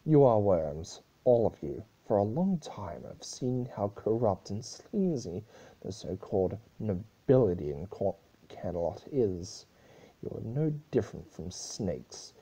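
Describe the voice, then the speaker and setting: Casting: male reader for a stern pony princess, low and husky, accusing and declamatory, storybook drama. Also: mellow, low-quality recording. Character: stern, grieving, wrathful pony princess ruler, female. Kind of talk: amateur dramatic reading of fan fiction.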